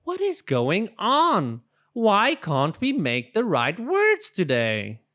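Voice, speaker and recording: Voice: mid-range voice, huge pitch swings, slow, a bit bright, voice pushed up, full character voice. A storybook reader voicing childlike little alphabet letters, earnest, exclaiming and easily upset. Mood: frustrated, upset. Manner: plaintive, exclaiming.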